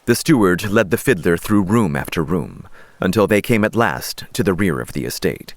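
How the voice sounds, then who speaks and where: A man narrating a fiction audiobook in a measured, dramatic storytelling style. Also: low-pitched, animated, no echo.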